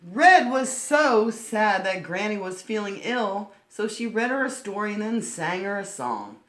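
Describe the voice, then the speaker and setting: A woman's voice: medium pitch, lively intonation, a little slow. A woman reading a picture book aloud to children, breaking off to explain things simply. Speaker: children's storytime reader. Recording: roomy, echoing sound.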